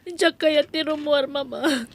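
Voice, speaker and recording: A woman's voice: high voice, takes it slowly, vocal fry, full character voice. A woman with an Ilocano accent playing a betrayed young wife, wounded and bitter, speaking emotionally in a radio-style family drama. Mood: hurt, tearful. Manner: emotional, plaintive.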